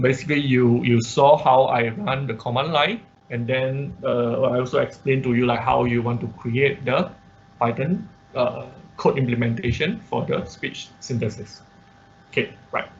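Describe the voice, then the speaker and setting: A man's voice: medium pitch, steady tone, a little slow, slight background noise. A man giving an informal step-by-step tutorial as an online class instructor, often checking in with short tag words. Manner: step-by-step explaining with frequent check words like okay and right. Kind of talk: informal screen-share tutorial. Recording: dull, lo-fi online-class audio.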